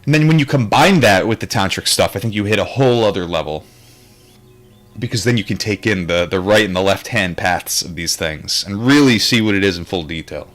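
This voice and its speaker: A man's voice: on the low side, fairly quick, a bit bright. A man reading a book aloud and adding his own casual, rambling commentary, with wry and reflective asides.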